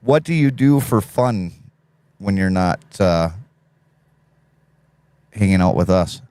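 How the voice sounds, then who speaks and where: A man with a deep, smooth radio voice, low in pitch, hosting a relaxed live stream. He reads viewers' chat aloud, offers advice and laughs easily. The sound is dry and close. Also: takes it slowly, no echo. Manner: laid-back, reads chat messages aloud, laughs often.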